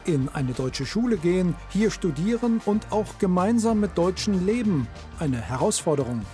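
A man reading a scripted TV report narration in a smooth, measured way. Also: sits high, animated. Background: soft music.

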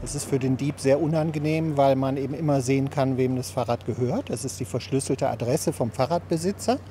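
A man's voice: medium pitch, a little fast, mellow, soft background music. A man explaining something matter-of-factly in an interview outdoors in a city. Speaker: interviewee expert.

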